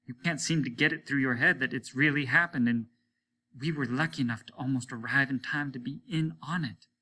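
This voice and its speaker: A man reading a story, voicing an earnest, defiant crewman who speaks in an impassioned, halting, pleading way. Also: medium pitch, sharp tone, voice pushed up. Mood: defiant, fervent. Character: earnest, defiant male crewman standing up to his captain. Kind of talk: dramatic audiobook reading.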